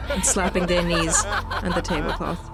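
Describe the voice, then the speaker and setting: A woman's voice: lowish voice, fairly even intonation, normal speed, vocal fry, a loud crowd, poor audio. A woman hosting a gaming video, reading a horror story game aloud with unscripted reactions. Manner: sweary, unscripted asides. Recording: faint music behind the voice. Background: game music.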